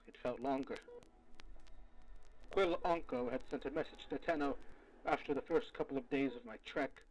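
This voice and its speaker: A man playing a weary, foul-mouthed field researcher dictating case logs in an audio drama, with a gravelly, theatrical, halting delivery. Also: medium pitch, low-quality recording. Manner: theatrical, halting, muttering to himself.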